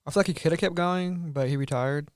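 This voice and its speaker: A man's voice: on the high side, quick pace, dry recording, studio quality. Upbeat man leading a podcast chat. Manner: upbeat, enthusiastic, steers the talk. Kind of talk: casual chat.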